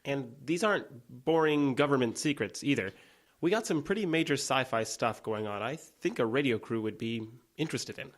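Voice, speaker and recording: A man's voice: mid-range voice, animated, moderate pace, lightly acted. An actor playing an earnest, slightly awkward small-town man in an audio drama, hesitant and conciliatory. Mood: questioning, uneasy.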